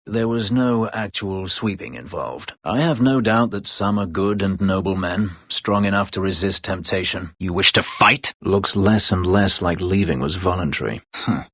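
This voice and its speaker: An actor playing a proud, bitter former slave warrior in a video game, speaking tersely and formally in a husky, gravelly voice. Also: male voice, low voice, normal speed, fairly bright tone, no room sound, full character voice. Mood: guarded, brooding. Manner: terse, formal, brooding delivery. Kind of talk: video game character dialogue.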